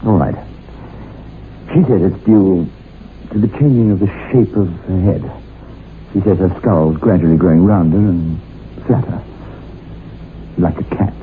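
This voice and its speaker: A troubled, weary husband, deep and hesitant. He sounds subdued and nervous in a radio play, on a tinny recording with faint hiss. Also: a little slow, long pauses. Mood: reluctant. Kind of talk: radio drama acting. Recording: tinny, hissy old radio drama recording.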